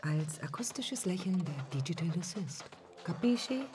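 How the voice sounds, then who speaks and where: A woman playing a sharp, teasing young student in a naturalistic radio drama, with a brisk, matter-of-fact way of talking. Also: fairly low pitch, animated, lightly acted. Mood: wry, frank. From Iran. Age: young adult.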